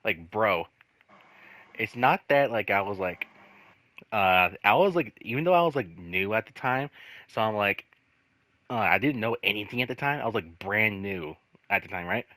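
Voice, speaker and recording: A man telling casual stories in a rambling, halting way, swearing freely and leaning on repeated filler phrases. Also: on the low side, animated, sharp tone, dry recording. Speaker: host telling personal work stories. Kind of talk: casual storytelling chat.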